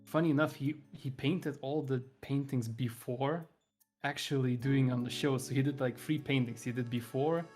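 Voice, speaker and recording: A man's voice: medium pitch, steady tone, normal speed, a little mellow, soft background music. A man hosting a stream, talking in a chatty, enthusiastic and rambling way. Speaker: streamer host. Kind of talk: casual online chat.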